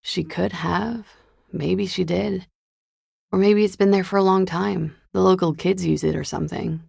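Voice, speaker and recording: Casting: a guarded, wry young woman in a video game, world-weary, heavy vocal fry, dry close sound. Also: lowish voice, steady tone, normal speed, a little mellow, no room sound, exaggerated voice. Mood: uneasy, guarded. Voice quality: fry-laden, gravelly. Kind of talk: naturalistic video game dialogue.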